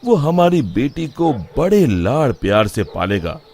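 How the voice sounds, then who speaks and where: A man's voice: mid-range voice, big pitch swings, measured pace, creaky, a little mellow, a little hiss or hum. A man voicing a stubborn village father who dotes on his son, in an insistent, explaining manner for a children's story.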